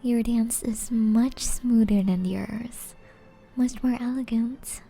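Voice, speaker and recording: Woman acting a slow, velvety flirty vampire lady. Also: fairly high pitch, fairly even intonation, soft background music, full character voice. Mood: seductive. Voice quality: soft, breathy, often whispery. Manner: refined, formal, teasing, slow and deliberate. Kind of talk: intimate ASMR roleplay.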